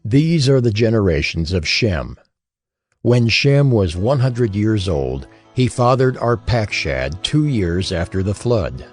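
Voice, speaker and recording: Male narrator for scripture, lowish voice, formal and measured, wide intonation, soft music beneath. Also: very animated. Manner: solemn, measured, formal reading. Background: faint music.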